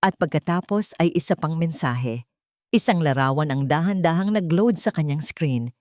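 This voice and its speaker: Low-voiced woman narrating a dramatic story. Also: expressive, normal speed, a little mellow, no room sound, clean studio sound.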